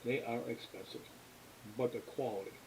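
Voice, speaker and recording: American guy doing a casual hobby stream, kinda halting and folksy, hissy background, medium pitch. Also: fairly even intonation. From New England, US. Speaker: livestream host, radio scanner hobbyist. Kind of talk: casual explanatory livestream chat. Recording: hissy, clearly noisy background.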